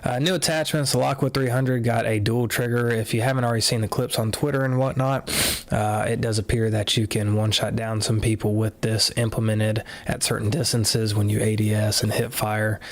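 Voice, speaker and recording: Nasal, low-voiced man chatting casually. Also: a little fast, dry recording. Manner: relaxed, filler-heavy, offhand commentary. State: slightly nasal after a cold. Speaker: gaming content creator. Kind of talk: casual live walkthrough of patch notes.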